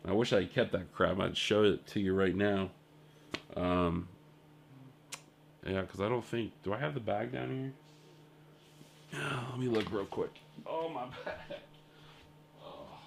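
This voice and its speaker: Young male indie dev, lowish voice, loose rambling video-diary storytelling. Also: steady tone, measured pace, creaky, long pauses, a little hiss or hum. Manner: rambling storytelling with filler words and asides. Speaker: indie game developer. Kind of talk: casual video diary recap.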